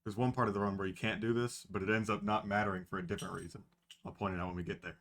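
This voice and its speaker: A man's voice: on the low side, flat delivery, very fast, fairly bright tone. A man playing an oblivious, chatty unicorn speedrunner who gives matter-of-fact gaming commentary in a story reading. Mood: matter-of-fact explaining. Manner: matter-of-fact, rattles off game trivia.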